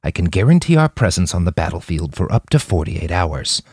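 A man voicing a tough, blunt woman fighter with a deep, gravelly smoker's voice in an audiobook story. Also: sing-song, fairly quick, a little mellow, no room sound, clean studio sound, exaggerated voice. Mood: blunt, firm.

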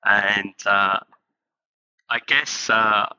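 A man from England, a podcast guest, answering in a halting, thoughtful way with frequent fillers. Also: on the low side, bright, forceful tone, clean tone, dull audio. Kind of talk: interview conversation.

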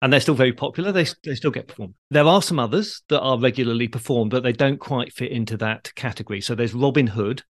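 British male podcast host, mid-pitched, chatty explainer, recorded dry. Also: fast, few pauses, no room sound. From Britain. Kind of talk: conversational explaining of theatre.

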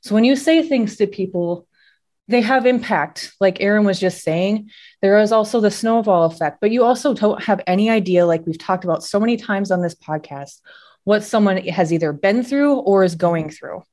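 A woman co-hosting a podcast, speaking earnestly and personally in a measured way. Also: medium pitch, a little mellow. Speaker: podcast co-host. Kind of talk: candid podcast conversation.

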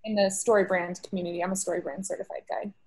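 A woman's voice: fairly low pitch, fairly even intonation, quick pace, darkish tone. A woman talking about her copywriting work in an upbeat, chatty way on a video call. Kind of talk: casual chat.

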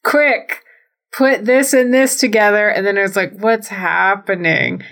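A woman's voice: mid-range voice, steady tone, unhurried, a bit bright. A woman co-hosting a casual comedy podcast, telling stories with dry exaggeration and some swearing. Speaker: podcast co-host. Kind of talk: casual comedic recap of a wrestling show.

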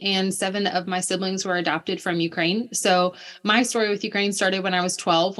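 A woman, a missionary guest telling her story in a lively, chatty way. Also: mid-range voice. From Alabama, US. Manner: lively, storytelling, quick asides.

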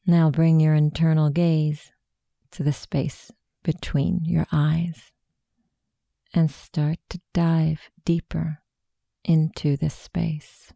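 Female meditation guide, low-pitched and unhurried, with a very soft dark tone and gravelly creak. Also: little pitch movement, no room sound, studio quality. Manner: slow, hushed, soothing instruction.

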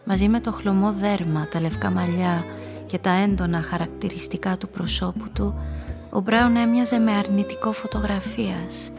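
A female actor plays an observant woman telling her own story in a radio play. Her delivery is measured and atmospheric, with even, restrained intonation over clear background music. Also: mid-range voice, natural voice. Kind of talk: scripted first-person literary narration.

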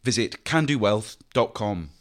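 A man reading a sponsor advert in a smooth, scripted delivery. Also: on the low side, animated, measured pace. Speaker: sponsor announcer. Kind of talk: read advert.

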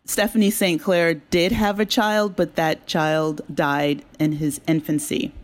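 Low-voiced woman explaining her work conversationally. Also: level tone, unhurried. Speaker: audio drama writer and creator, guest.

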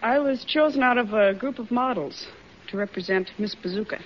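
A woman's voice: a little high, fairly quick. A woman of twenty-one, giving short unscripted answers as a radio quiz contestant. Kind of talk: unscripted replies to a host. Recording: muffled, noisy old radio recording.

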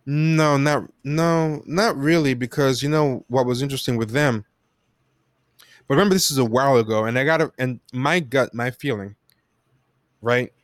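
An American man speaks in a smooth, clear voice at a middle pitch, recorded dry and close. He talks as a podcast guest, casual and reflective, often halting as he works out his thought. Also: dry recording. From United States. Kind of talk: casual reflective interview talk.

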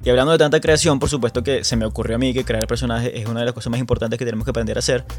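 A man's voice: mid-range voice, fairly even intonation, very fast, soft background music. Venezuelan man hosting a podcast. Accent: Venezuelan Spanish. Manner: chatty, frequent restarts and repeated words. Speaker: podcast host. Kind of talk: casual podcast talk.

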